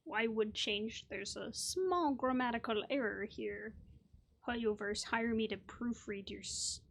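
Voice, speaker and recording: A woman's voice: a little high, a little slow, gravelly, fairly bright tone, slight background noise. A woman hosting a gaming video with casual, playful commentary, musing aloud to herself. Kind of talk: casual let's play commentary.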